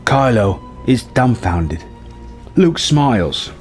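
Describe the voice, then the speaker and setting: A man telling a film story as a narrator, with a dramatic delivery and pauses for suspense. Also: mid-range voice, big pitch swings, darkish tone. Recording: music bed under the voice. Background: music.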